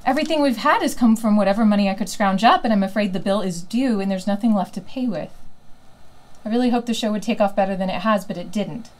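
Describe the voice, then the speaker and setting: Woman playing a casual, medium-pitched co-host. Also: fast, close to an everyday voice. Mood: subdued.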